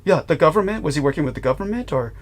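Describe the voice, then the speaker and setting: A man's voice: on the high side, lively intonation, very fast. A man hosting a podcast conversation, formal and effusive, often restarting his sentences. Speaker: host.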